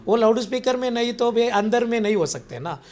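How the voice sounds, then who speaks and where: High-pitched man, halting explanations. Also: animated, a little mellow. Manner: halting, repetitive, mixes in English words. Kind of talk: explanatory interview answers.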